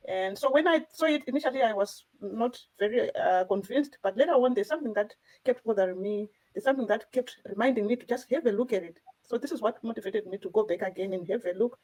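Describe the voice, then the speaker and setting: A woman talks quickly in a fairly high voice as co-host of a panel. She shares personal experience with earnest emphasis. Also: darkish tone, low-quality recording. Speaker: co-host and business owner. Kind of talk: personal account on a discussion panel.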